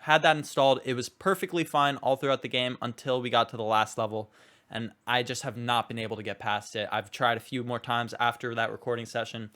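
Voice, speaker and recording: A man's voice: mid-range voice, little pitch movement, brisk, pushed and bright. A man giving casual gaming reaction commentary in an informal, chatty, rambling way. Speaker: gaming YouTuber host.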